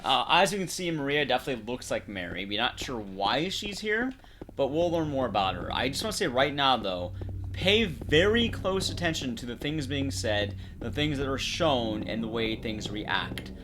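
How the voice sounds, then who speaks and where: A man commentates on a video game in a casual, analytical style. His mid-range voice moves up and down in an animated way, with noticeable background noise. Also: medium pitch, moderate pace.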